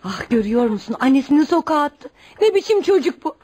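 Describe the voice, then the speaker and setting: A woman's voice: high-pitched, very animated, quick pace, a little mellow. An actress playing a fretful, caring mother and kindly neighbour in a radio play. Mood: dismayed, pitying. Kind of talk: Turkish radio theatre acting.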